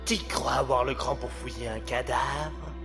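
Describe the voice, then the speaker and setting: A man playing a menacing, mocking voice that haunts a killer's mind in an audio drama, with drawn-out theatrical delivery. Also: mid-range voice, fairly even intonation, music in the background, lightly acted. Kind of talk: amateur audio drama acting.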